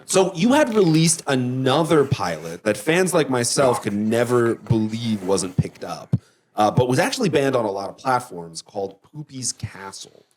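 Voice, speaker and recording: A man's voice: medium pitch, sing-song, normal speed, music in the background. A man working as an interviewer, earnest and nitpicking in a mock-serious comedy interview, turning hesitant when challenged.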